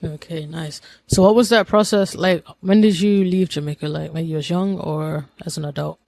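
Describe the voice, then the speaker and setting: A man's voice: on the high side, fairly even intonation, moderate pace, vocal fry, darkish tone. A man hosting a podcast, asking short questions and giving brief acknowledgments. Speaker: podcast host. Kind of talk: interview questions.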